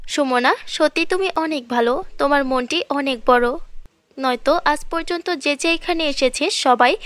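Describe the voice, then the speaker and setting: A woman plays a benevolent magical fairy from a cartoon fairy tale. The voice is high and dry, gently approving and measured, like one granting rewards to the worthy. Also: no room sound. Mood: approving, generous. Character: kindly magical female fairy who tests and rewards.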